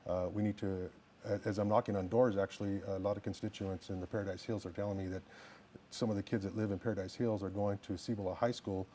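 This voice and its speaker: A man of about fifty from New Mexico, a firefighter running for office, answering interview questions politely and plainly, with halting restarts. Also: fairly low pitch, level tone, mellow, slight background noise.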